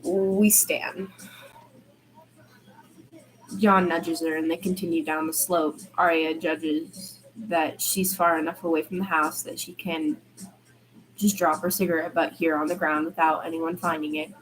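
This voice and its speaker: A woman's voice: low voice, flat delivery, measured pace, poor audio. A woman reading fiction aloud as an amateur narrator, sometimes stumbling and rereading phrases.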